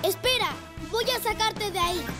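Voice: very high-pitched, normal speed, loud music behind the voice. An actor voicing an eager, brave and friendly young boy rescue motorcycle in a children's cartoon, earnest and enthusiastic. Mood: determined. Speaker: cartoon lead character. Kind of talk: animated children's cartoon dubbing.

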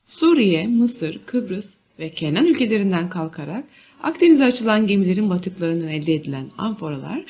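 A woman's voice: mid-range voice, breathy edge, muffled recording. A woman reading a scripted museum tour narration as a documentary guide.

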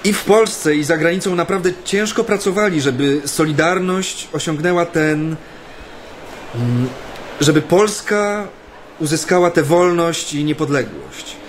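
A man's voice: fairly high pitch, lively intonation, a little hiss or hum. Earnest, weary male activist recounting memories. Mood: strained. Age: 30s. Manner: hesitant, halting when addressing people. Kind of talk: radio play acting, intimate first-person narration.

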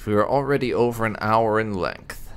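A man's voice: fairly low pitch, normal speed, smooth. A man hosting a gaming video, giving casual playthrough commentary in a dry, sardonic way.

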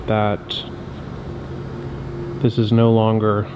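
Slow-talking man, often whispering. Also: on the low side, monotone, lo-fi sound. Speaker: urban explorer vlogger. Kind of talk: casual exploring commentary. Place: huge empty abandoned warehouse indoors. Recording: loud wind and site noise.

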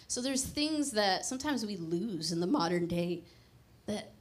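A woman's voice: medium pitch, lively intonation, measured pace. A woman, preaching a conversational, emphatic sermon into a handheld mic on a church stage. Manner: conversational, emphatic, drops to a hushed prayer.

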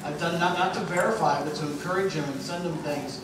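A man's voice: on the high side, fast. A middle-aged man leading a convention panel, talking in a confident, persuasive coaching tone into a handheld mic. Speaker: panel host at a sales convention. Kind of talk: panel discussion. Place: large convention hall stage. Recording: echoey hall, recorded from far off, noisy.

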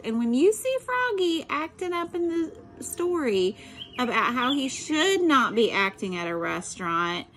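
A woman's voice: high-pitched, fairly bright tone. A middle-aged woman reading a picture book aloud expressively, with instructive asides spoken directly to students. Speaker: special education teacher reading aloud.

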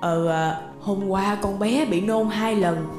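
A woman voicing a gentle, worried middle-aged housemaid in an animated drama, coaxing and hesitant. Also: mid-range voice, slow, faint music behind, natural voice.

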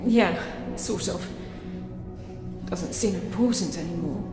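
A woman's voice: lowish voice, slow. A guarded grieving young woman. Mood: sad. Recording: echoey room with music.

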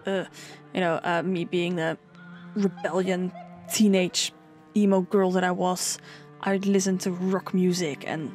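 A young woman chatting casually over a build, rambling with lots of fillers. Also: darkish tone. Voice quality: low singing voice. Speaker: sims speed builder.

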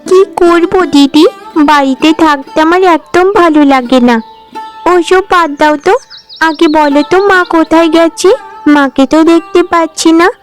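A little cartoon village girl with a tiny, very high voice. She is childish, excitable and whiny, over a bed of music. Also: normal speed. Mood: cheeky, hungry. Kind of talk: Bengali cartoon voice acting.

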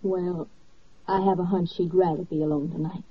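A woman playing a gentle, considerate wife in a 1940s radio drama, speaking softly and conversationally. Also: lowish voice, steady tone, normal speed, breathy edge. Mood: gentle, subdued. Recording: muffled old 1940s radio recording.

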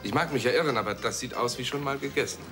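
A man's voice: mid-range voice, fast, crowd noise, lightly acted. A man playing a naive turbaned restaurant guest. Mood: formal, polite. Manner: stilted formal phrasing, exotic address. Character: fake Indian guest in turban, naive, polite. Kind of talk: 1990 TV sketch comedy. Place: restaurant studio set. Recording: music and studio audience laughter.

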